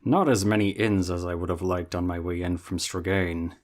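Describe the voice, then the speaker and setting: A man voicing a wary, practical male dwarf traveler who speaks in a measured, questioning way. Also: sits low, steady tone, close to an everyday voice. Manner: measured, questioning, a bit wry. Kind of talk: fan audio drama roleplay.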